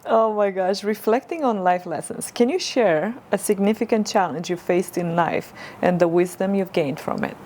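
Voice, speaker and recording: A woman's voice: medium pitch, normal speed, few pauses, a little mellow, slight background noise. A woman with a Romanian accent, hosting a podcast interview and asking her questions in an encouraging way. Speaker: podcast host.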